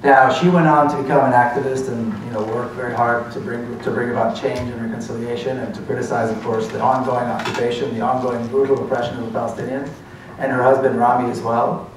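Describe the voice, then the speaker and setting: A man's voice: medium pitch, little pitch movement, quick pace, noisy background. A man in his forties from Israel with an Israeli accent, earnestly telling his story in a university lecture. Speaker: speaker. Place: lecture hall. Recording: echoey hall from far off.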